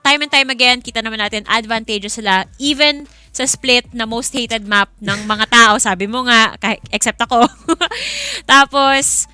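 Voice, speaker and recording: Woman esports host, Filipino accent, crisp clean voice, dry close sound, encouraging and emphatic. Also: on the high side, lively intonation, moderate pace, hardly any pauses, sharp tone, no echo. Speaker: esports caster and panel host. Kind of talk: esports panel analysis, casual and bilingual. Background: faint background music.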